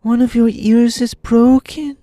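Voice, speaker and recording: A man voicing a bossy, impatient young boy in a storybook reading, talking high and shrill. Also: steady tone, a little slow, dry recording. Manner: shrill, exasperated shouting.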